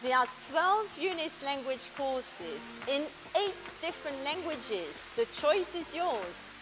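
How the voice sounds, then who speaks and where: A woman's voice: high-pitched, big pitch swings, slow pace, background noise. A woman presenting a promotional video in an upbeat, scripted style. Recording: video played with music behind. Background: music.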